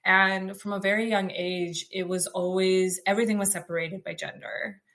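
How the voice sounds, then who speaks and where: A young American woman with a loud, outspoken voice. She tells her personal story casually, in a chatty flow full of fillers and restarts. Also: medium pitch, steady tone, normal speed. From United States.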